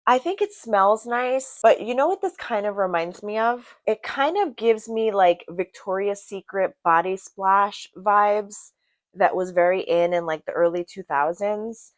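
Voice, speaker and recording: A woman's voice: mid-range voice, expressive, measured pace, no room sound. A woman from Brazil giving a casual product review to camera in a chatty, emphatic way, repeating words for emphasis. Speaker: beauty product reviewer and vlogger.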